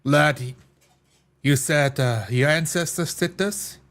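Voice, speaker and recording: A man playing a stoic, earnest martial artist with formal, deliberate speech in a tabletop game. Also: a little high, fairly bright tone. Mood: earnest, measured.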